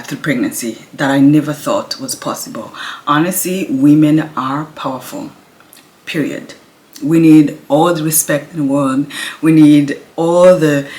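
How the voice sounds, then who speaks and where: A woman, her Kinyarwanda, chatting casually to camera at home, speaking softly and laughing now and then. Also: low-pitched. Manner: speaks softly, laughs often, restarts words. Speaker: vlogger. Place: home, indoors.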